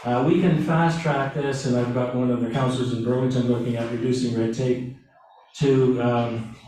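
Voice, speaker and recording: A man giving a webinar presentation, speaking haltingly with restarts and fillers, recorded from a distance in a meeting room. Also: mid-range voice, fairly even intonation, darkish tone, slight background noise. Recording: echoey room, from far off.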